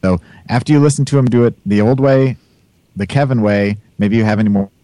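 A man's voice: mid-range voice, a little fast, dark tone, no echo, low-quality recording. A man hosting a casual podcast, chatty and teasing. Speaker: podcast host. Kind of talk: casual chat.

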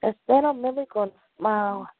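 A woman performs a confessional spoken word poem in a dramatic, deliberate way, with long pauses between phrases. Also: medium pitch, no room sound, narrow, tinny audio.

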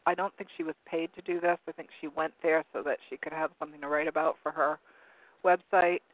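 A hoarse man losing his voice, hosting a call-in talk show in a rambling, casual way while reading from web pages. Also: fairly high pitch, flat delivery, fairly quick, no echo. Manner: rambling, searching for words with many ums. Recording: narrow phone-like line, dry.

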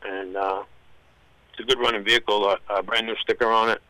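A man phones into a local radio show, his voice bright and a little tense. He speaks plainly with many pauses. The sound is dry, with no room around it. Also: on the low side, level tone, no echo. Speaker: caller. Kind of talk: phone-in listing items for sale. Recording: phone line.

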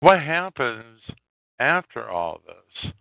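A man hosting a solo podcast, giving unscripted political commentary in a halting way and repeating phrases for emphasis. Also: mid-range voice, big pitch swings, vocal fry, no echo. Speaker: podcast host. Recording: muffled, tinny phone-like sound.

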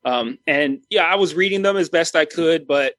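Man, 30s, podcast co-host; slightly high voice, dry close-mic sound, rambling and restarting. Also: moderate pace, fairly bright tone, no echo. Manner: rambling, many restarts and fillers. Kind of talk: casual podcast chat.

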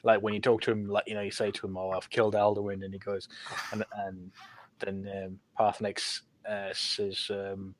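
A man's voice: lowish voice, level tone, moderate pace, a little hiss or hum. A man on a podcast panel, explaining things tentatively and conversationally in a casual discussion of a video game.

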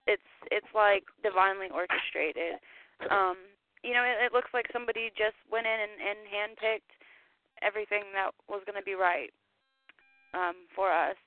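A woman's voice: medium pitch, moderate pace, no echo. Hesitant pregnant woman on the phone. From Tennessee. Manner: hesitant, many fillers and restarts. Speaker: guest. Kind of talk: casual chat. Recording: tinny phone line, dry.

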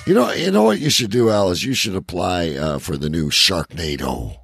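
Very deep, gravelly male podcast co-host. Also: big pitch swings, normal speed, fairly bright tone, no echo. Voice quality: gravelly, husky. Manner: blunt, profane, opinionated. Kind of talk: casual banter about wrestling and movies.

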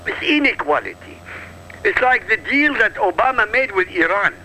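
A man's voice: sits high, very animated, moderate pace, bright, forceful tone, a little hiss or hum, poor audio. A man from Iraq talking on a political talk radio show, emphatic and combative, in punchy short sentences.

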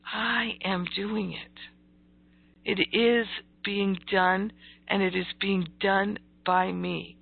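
Female motivational spiritual teacher, very unhurried and emphatic, croaky voice, thin telephone-quality sound. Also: medium pitch, flat delivery. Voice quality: croaky, gravelly. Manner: deliberate, emphatic, repeats phrases. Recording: thin, phone-like audio.

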